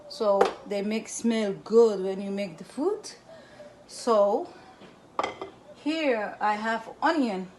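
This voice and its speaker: A Somali woman with a Somali accent, hosting her cooking channel from her home kitchen in halting English, recorded by a camera a little way off. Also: medium pitch, noisy background. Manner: halting broken English, repeats words while explaining. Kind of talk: casual cooking demonstration.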